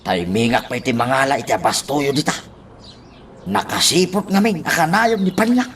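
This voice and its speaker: A man's voice: medium pitch, big pitch swings, moderate pace, a bit bright, a little hiss or hum. A man acting an evasive, nervous underling who hesitates and stammers in an Ilocano radio drama.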